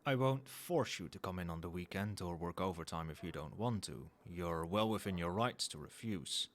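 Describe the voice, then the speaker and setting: Cold, demanding male CEO, played by a man, ASMR roleplay: low register, measured businesslike delivery, audible background noise. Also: lively intonation. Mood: firm, businesslike. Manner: formal, measured, businesslike, faintly suggestive. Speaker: voice actor in an audio roleplay. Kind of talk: ASMR roleplay, one-sided dialogue to the listener.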